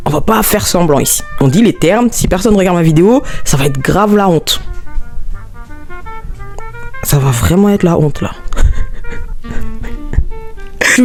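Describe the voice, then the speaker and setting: Vlogger, woman, mid-twenties, chatty and expressive, soft music under her voice. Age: 20s. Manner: chatty, expressive, swoops up high when excited. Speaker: YouTuber. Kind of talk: casual vlog talk to camera.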